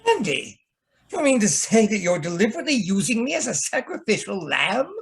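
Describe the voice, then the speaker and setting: A man playing a fussy, nervous gentleman sidekick in an old-time radio mystery, stammering and theatrical, with a husky voice. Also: high-pitched, big pitch swings, fairly quick, heavily acted. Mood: indignant, then relieved.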